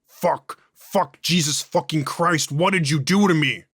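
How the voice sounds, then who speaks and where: A man's voice: mid-range voice, measured pace, a bit bright, no room sound, clean studio sound. Foul-mouthed prisoner, voiced by a man, agitated and profane, in a horror audio drama. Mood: panicked. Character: foul-mouthed, defensive male prisoner under interrogation.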